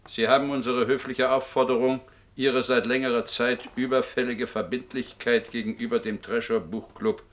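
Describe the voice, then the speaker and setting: A man's voice: mid-range voice, a bit bright, lightly acted. A man playing an impersonal debt-collection official reading out formal letters in a German radio play. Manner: formal, bureaucratic.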